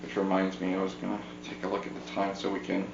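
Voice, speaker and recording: A man with a fairly deep voice chats casually as a podcast co-host. The recording is roomy and somewhat dull, with a faint hum behind him. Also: monotone, fast talker, gravelly. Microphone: podcast microphone on a tripod. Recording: muffled roomy podcast mic, background hum.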